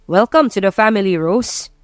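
A woman's voice: mid-range voice, lively intonation, moderate pace, no room sound, lightly acted. Woman voicing a stern, skeptical father, dry close recording. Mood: firm, accepting. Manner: commanding, terse, mixes Tagalog and English.

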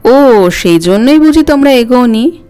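A woman's voice: on the high side, expressive, measured pace, warm tone, clean tone. A woman voicing a curious, teasing young granddaughter in a dramatic story reading. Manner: teasing, inquisitive. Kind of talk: dramatic audiobook character reading. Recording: music under the voice.

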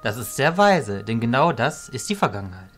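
A man playing a calm, wise illusionist mentor, measured and didactic, in a fantasy audio drama. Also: mid-range voice, soft background music.

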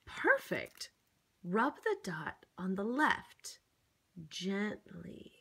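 A woman's voice: mid-range voice, wildly swooping pitch, drawn-out words, fairly bright tone, slightly rough. A woman reading a picture book aloud to young children, theatrical and encouraging, with exaggerated gasps and exclamations. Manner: encouraging, coaxing, addressing the child listener. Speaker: children's storybook reader.

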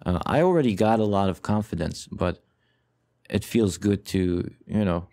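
A man's voice: fairly low pitch, normal speed, dark tone, no echo. A man hosting a gaming channel, giving casual let's play commentary in an earnest, rambling way, repeating phrases for emphasis as he addresses viewers directly. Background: music with guitar.

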